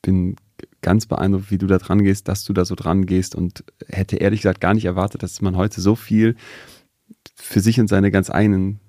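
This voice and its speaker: A man's voice: low-pitched, level tone, normal speed, dark tone, no echo, clean studio sound. A man hosting an interview, asking earnest, empathetic questions. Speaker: podcast host.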